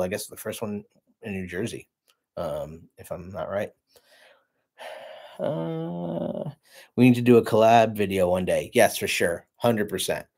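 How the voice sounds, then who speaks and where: Chatty man shouting out live viewers. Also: sits low. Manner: chatty, friendly shout-outs to viewers, many fillers. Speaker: car channel co-host reading live chat. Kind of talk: casual livestream chat. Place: living room, indoors.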